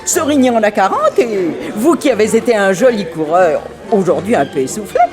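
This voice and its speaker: An actress playing a fifty-year-old English society widow and aunt, haughty, effusive, flustered and lovestruck, in a 1960s French radio play. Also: female voice, mid-range voice, expressive, brisk, lightly acted. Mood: lovestruck confiding. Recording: old radio drama with dance music and crowd.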